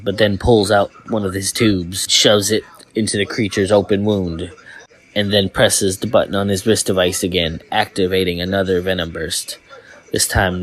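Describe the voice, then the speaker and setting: Low-voiced man narrating a story. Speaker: narrator and writer of fan fiction.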